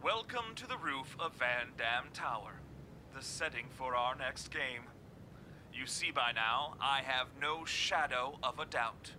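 A man's voice: medium pitch, animated, a little slow, pushed and bright. Man playing a theatrical, taunting game master, noisy background. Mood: taunting, controlled. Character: taunting male game master heard on recorded message. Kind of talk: audio drama acting.

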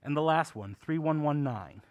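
An actor playing a genial, erudite older uncle and film buff with a measured, theatrical way of talking in an audio drama. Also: male voice, fairly high pitch, animated, darkish tone, no room sound, highs cut off, lightly acted. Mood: encouraging, curious.

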